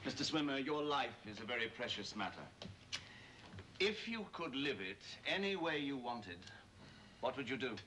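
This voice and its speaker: A man acting a calm, persuasive, intense scientist doctor in a 1970s television drama, speaking earnestly and deliberately. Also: mid-range voice, a little hiss or hum. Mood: grave, persuasive. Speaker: actor in a television film. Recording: distant old TV film sound.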